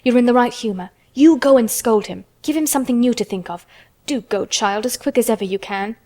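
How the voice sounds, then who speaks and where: A woman's voice: on the high side, brisk, mellow, natural voice. A woman voicing an anxious, flustered nurse in a storybook, speaking with urgency. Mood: urging.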